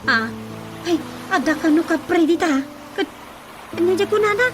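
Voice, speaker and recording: A woman's voice: very high-pitched, expressive, normal speed, music in the background. An actress playing a worried, scolding village woman in an Ilocano radio comedy, with a Filipino accent and a fretful, questioning delivery. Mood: anxious, scolding.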